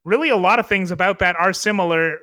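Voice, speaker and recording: A man's voice: high-pitched, fast, pushed and bright. A young man co-hosting a podcast, talking casually about a film with frequent fillers and restarts. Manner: chatty, many fillers and restarts. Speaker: podcast co-host.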